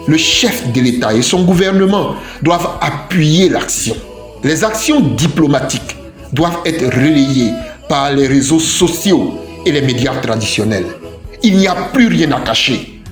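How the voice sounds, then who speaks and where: A man's voice: on the high side, lively intonation, a little slow. A man from Côte d'Ivoire delivers scripted opinion commentary in an emphatic, declarative way, repeating phrases in lists. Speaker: civic commentator. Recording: roomy echoing voice track with music. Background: music.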